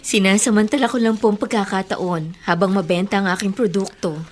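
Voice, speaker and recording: A woman's voice: medium pitch, steady tone, a little fast, slight background noise, close to an everyday voice. A woman playing an earnest, stubborn, hardworking young niece in a radio drama. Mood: earnest, insistent. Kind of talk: Tagalog radio drama acting.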